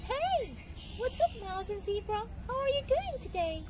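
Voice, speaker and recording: A woman voicing a cheerful, friendly small animal puppet with a squeaky, cutesy delivery in a scripted educational show. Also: very animated, normal speed, noisy background. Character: cheerful young female rabbit and mouse puppets, friendly and eager.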